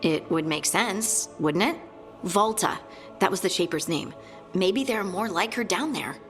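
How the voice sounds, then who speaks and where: A woman's voice: medium pitch, lively intonation, unhurried, fairly bright tone, faint music behind. A woman voicing an earnest, hopeful female dwarf in a video game, speaking in a thoughtful, hesitant way.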